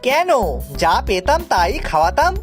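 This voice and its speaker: A woman's voice: mid-range voice, sing-song, moderate pace, natural voice. A woman voicing a humble, eager young male servant and street food seller in a cartoon, with deferential, rustic colloquial speech. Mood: respectful, curious. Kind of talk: cartoon story dialogue. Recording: music behind the voice.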